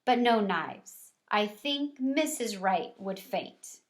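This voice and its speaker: Slow, patient schoolteacher as read by a woman. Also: mid-range voice, expressive. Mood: practical. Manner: explanatory, patient. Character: kindly, practical female primary school teacher.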